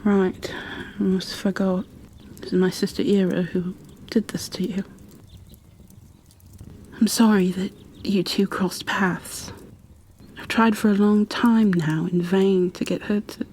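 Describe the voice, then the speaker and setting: A woman playing a gentle, protective creature bookkeeper in a soft-spoken ASMR roleplay, hushed, soothing and a little hesitant. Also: medium pitch, fairly even intonation, slow pace, long pauses, slight background noise, lightly acted. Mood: soothing, sad.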